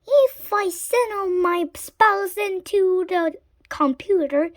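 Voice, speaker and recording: A woman voicing an excitable, scatterbrained witch from a storybook, exclaiming theatrically. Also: extremely high pitch, takes it slowly, dry recording, studio quality, voice pushed up. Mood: eager.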